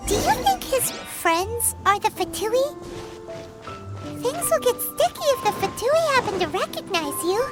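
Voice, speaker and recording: A woman voicing a small, childlike, chirpy fairy companion in a video game, with a squeaky voice. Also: very animated, unhurried, over music, heavily acted. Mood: curious, worried. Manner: speaks of herself in third person, eager. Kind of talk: cartoonish video game voice acting.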